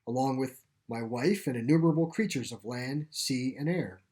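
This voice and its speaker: A man voicing a genial middle-aged male caretaker in a storybook, courteous, unhurried and quaintly formal. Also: medium pitch, a little mellow, lightly acted. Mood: reassuring.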